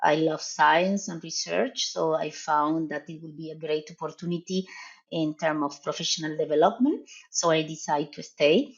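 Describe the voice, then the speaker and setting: A middle-aged woman from Argentina with a Spanish accent, a veterinary professor explaining her research in a podcast interview, measured and pausing often. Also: low voice, husky, muffled recording. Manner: measured, explanatory, with frequent "uh" pauses.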